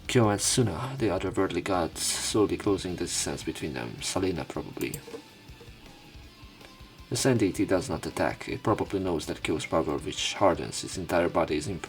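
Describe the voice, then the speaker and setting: Low voiced guy reading a visual novel out loud and commenting, bit of music behind him. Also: animated, moderate pace. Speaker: let's play narrator.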